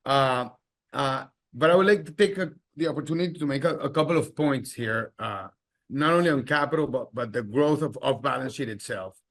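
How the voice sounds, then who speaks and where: Halting male executive explaining results. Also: mid-range voice, fairly bright tone. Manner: halting, repeats words. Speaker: company executive. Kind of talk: earnings call answers, explanatory.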